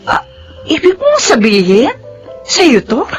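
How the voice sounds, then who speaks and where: A woman playing a sentimental, coy widowed mother in an expressive radio drama performance. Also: sits high, slow, soft background music, heavily acted. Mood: surprised, flustered.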